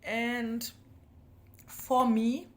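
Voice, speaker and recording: A woman's voice: fairly high pitch, level tone, extremely slow. A woman in her thirties with a Kenyan accent, talking casually and animatedly as a book reviewer, candid and self-deprecating with playful asides. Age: 30s.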